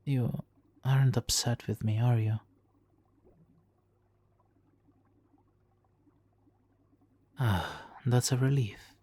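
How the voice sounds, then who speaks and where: A man's voice: medium pitch, steady tone, a little slow, mellow, breathy edge. A man playing a suave, manipulative supervillain in an ASMR roleplay, silky and theatrically polite, smugly doting and sometimes slipping into whispers. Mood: smug, coaxing. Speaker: audio roleplay performer. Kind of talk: ASMR roleplay, hypnotic countdown.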